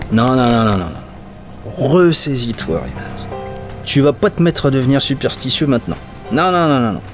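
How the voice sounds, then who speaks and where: A man acts out a weary dockworker in a fantasy radio play. His middle-pitched voice is anxious and halting, often repeating itself as he mutters. Music plays clearly underneath. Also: close to an everyday voice. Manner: hesitant, stammering, muttering to himself. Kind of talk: audio drama acting.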